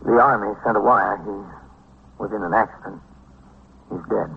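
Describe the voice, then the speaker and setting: An actor with an American accent playing a steady, sombre police captain in a vintage radio drama, measured and understated. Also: male voice, lowish voice, vocal fry, breathy edge, exaggerated voice. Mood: gentle, grave. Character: steady, sombre police captain, considerate toward his men. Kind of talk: vintage American radio drama acting and first-person narration. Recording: tinny, noisy vintage radio recording.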